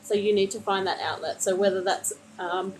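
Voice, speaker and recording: Woman, tarot reader, soothing, rambling, middle pitch, phone mic, faint background noise. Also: steady tone, moderate pace, rough recording. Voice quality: gentle, soothing, calls listener honey. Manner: meandering, many fillers and restarts. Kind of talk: live-streamed card reading to a viewer.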